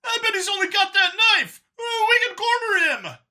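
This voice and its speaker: A man voicing an aggrieved, shouting alien henchman in an audio story. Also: extremely high pitch, sing-song, normal speed, pitched above the performer's own voice, heavily acted. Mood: alarmed, urgent. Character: furious shrieking alien henchman guard.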